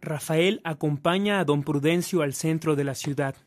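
A man's voice: fairly high pitch, moderate pace, faint music behind, close to an everyday voice. A man acting as the storytelling narrator of a scripted radio drama. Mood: solemn storytelling. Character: storytelling narrator of a saint's life.

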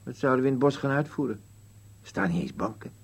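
An actor playing a stubborn, bitter old husband in a radio play, with a blunt, contrary, grumbling manner. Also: male voice, mid-range voice, animated, fast talker, husky. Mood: irritated, contrary. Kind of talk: dialogue in an absurdist radio play.

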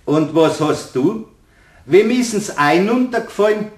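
An actor playing a superstitious, stubborn middle-aged mechanic in a radio play, agitated and insistent, with a Bavarian accent. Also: male voice, high-pitched, expressive, measured pace, reverberant. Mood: rattled, accusing. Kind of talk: German radio play acting.

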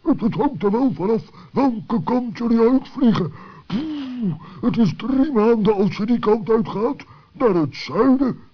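A man's voice: very high-pitched, animated, measured pace, slightly rough, pitched above the performer's own voice, heavily acted. A man voicing a big blustery walrus who stammers and teases, in a children's radio play. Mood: teasing. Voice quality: blustery, huffing. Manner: stammering repeats, teasing. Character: big grim walrus, scornful joker, huffing through moustache. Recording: muffled old sixties recording.

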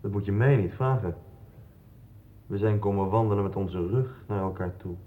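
A man's voice: fairly low pitch, normal speed, lightly acted. Actor as a terse man, dull old recording. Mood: cool. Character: terse, cool male friend of a troubled man. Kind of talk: 1960s Dutch radio drama acting. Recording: dull 1960s radio recording.

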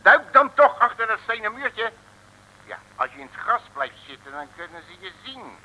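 An actor playing a brash, excitable, slangy detective with a blustery, stammering manner in a 1950s comic radio serial. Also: male voice, high voice, normal speed, full character voice. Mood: gruff, bossy. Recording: old noisy radio recording.

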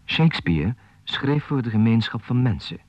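An actor playing an impassioned defender of the individual in a radio play, emphatic and rhetorical. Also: male voice, mid-range voice, animated, moderate pace, natural voice. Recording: dull 1980s radio drama recording.

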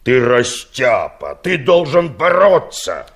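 An actor playing a feisty, boastful, bossy old engineer of sixty-two in an audio play, speaking emphatically. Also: male voice, medium pitch, very animated, takes it slowly, a bit bright, full character voice. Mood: bossy, scheming. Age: older. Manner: emphatic, domineering, theatrical. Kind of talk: Soviet audio play acting.

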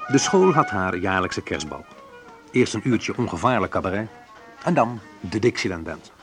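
A man's voice: on the low side, very animated, moderate pace, faint music behind. An actor playing a wry, reflective man who narrates memories of his youth in an intimate radio drama, confiding and a little hesitant. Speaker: narrator and main character of a radio play.

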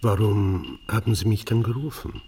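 A curt, skeptical detective speaks drily, as a man plays him, his voice very deep and gravelly with a lively rise and fall. Clear noise runs behind him. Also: moderate pace, close to an everyday voice. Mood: dismissive. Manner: dry, curt. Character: skeptical, dismissive male police detective.

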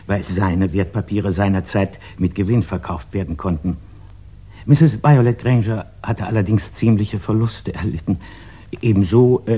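A discreet private detective in a vintage radio crime play. His voice is very low and soft-edged, a little husky, with formal, matter-of-fact phrasing. The old recording sounds muffled. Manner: formal, deliberate, matter-of-fact with hesitant pauses. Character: businesslike male English private detective, measured and discreet. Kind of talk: 1960s German radio crime drama acting.

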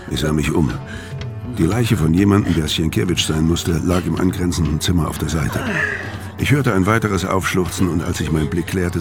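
A man's voice: extremely low pitch, exaggerated voice. An actor playing a hard-boiled hitman who narrates a crime radio play in a terse, matter-of-fact way. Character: hard-boiled male hitman narrating his bloody escape. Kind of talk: scripted first-person noir radio drama narration. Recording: music behind the voice.

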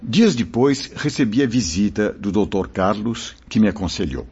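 An actor playing a gentle, solemn spirit mentor in a radio drama, fatherly and reassuring, with formal, measured delivery. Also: on the low side, lively intonation, music in the background. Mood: calm narrating. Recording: dull lo-fi radio drama recording.

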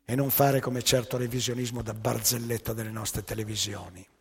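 A man delivering a theatrical spoken monologue as a stage storyteller, rhetorical and emphatic, breaking his sentences into short phrases, with a gravelly, husky voice. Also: mid-range voice, fairly even intonation, fairly quick, darkish tone, big-room sound.

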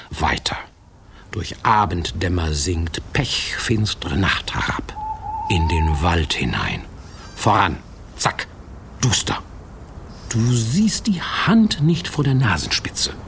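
An actor playing a wry, folksy fairy-tale narrator in a radio play, theatrical and conspiratorial. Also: male voice, fairly low pitch, gravelly, background noise, heavily acted. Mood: urgent, suspenseful.

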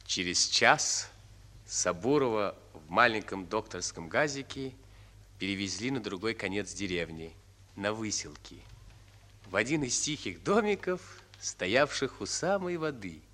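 A man narrating a radio drama in a solemn, deliberate, theatrical style. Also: fairly low pitch, lively intonation, pushed and bright. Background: music.

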